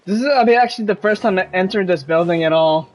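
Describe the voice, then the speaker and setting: A man's voice: high-pitched, fast, poor audio. A man giving casual live commentary while streaming a video game.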